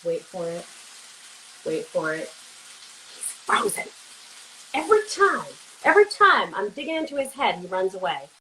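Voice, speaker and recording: A woman's voice: medium pitch, very animated, normal speed, long pauses, sharp tone. Female host, informal and chatty, mid-range voice, cooking livestream with audible sizzle and hiss. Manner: informal, chatty, talks to viewers. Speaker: interview show host. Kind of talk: casual chat while cooking. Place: kitchen, cooking along on a livestream. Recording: noisy video call with kitchen sizzle. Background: food sizzling, hiss.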